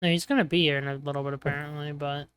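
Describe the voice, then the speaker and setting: Young male game master, smooth clear voice over a chat mic, playful and teasing. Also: on the high side, flat delivery, racing, fairly bright tone. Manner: joking, teasing, trails off mid-sentence. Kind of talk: casual voice chat running a tabletop game. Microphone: online voice chat mic.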